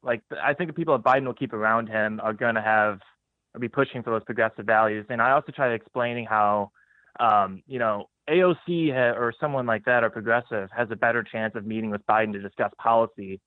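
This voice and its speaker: A man's voice: mid-range voice, fairly quick, no echo. A male caller on a call-in show, speaking earnestly with stumbling restarts. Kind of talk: call-in phone chat. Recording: tinny phone line.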